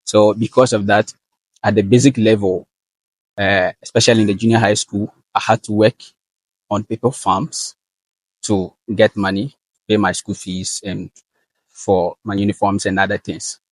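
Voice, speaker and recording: Young ghanaian guy telling his story in an interview, earnest, lots of ums, lowish voice, dry sound. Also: level tone, no echo, poor audio. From northern Ghana. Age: young adult. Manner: earnest and measured, with frequent fillers. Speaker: guest, valedictorian student. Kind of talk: interview answers.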